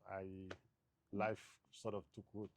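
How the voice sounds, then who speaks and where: A man's voice: lowish voice, completely flat delivery, slow pace, darkish tone, slight background noise. A man from Haiti, in his thirties, answering interview questions in a thoughtful, hesitant way with many fillers and restarts.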